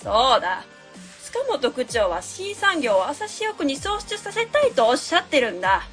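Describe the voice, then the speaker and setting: Woman acting an overbearing, high-voiced academic. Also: big pitch swings, normal speed, fairly bright tone, soft background music. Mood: impatient, commanding. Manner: haughty, commanding. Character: overbearing, scornful academic adviser barking orders. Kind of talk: comic radio drama acting.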